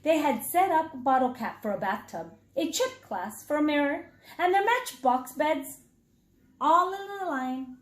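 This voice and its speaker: Casting: high-voiced woman teacher, expressive children's read-aloud narration, addresses the kids directly, echoey room sound. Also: unhurried. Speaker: reading intervention teacher.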